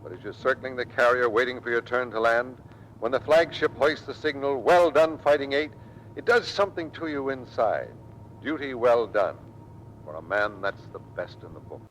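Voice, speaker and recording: Man playing a bright-voiced, commanding Navy squadron skipper. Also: medium pitch, moderate pace, natural voice. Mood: earnest. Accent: American. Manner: commanding, earnest, military. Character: tough, loyal Navy fighter squadron commander. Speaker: actor in radio drama. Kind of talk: old-time American radio drama acting. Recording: old noisy radio drama recording.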